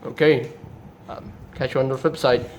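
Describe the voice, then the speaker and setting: A man acts out a robot defector in a homemade toy skit. He sounds calm and low-key, breaking news hesitantly and with casual apology. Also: moderate pace, a little hiss or hum, lightly acted. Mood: hesitant, awkward.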